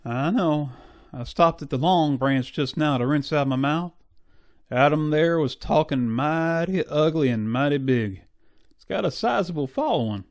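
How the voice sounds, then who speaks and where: Folksy western sidekick, a man acting, lightly high-pitched. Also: expressive, unhurried, darkish tone. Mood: concerned. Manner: deferential, folksy. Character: loyal, folksy deputy-like helper to the marshal. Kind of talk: western radio drama acting.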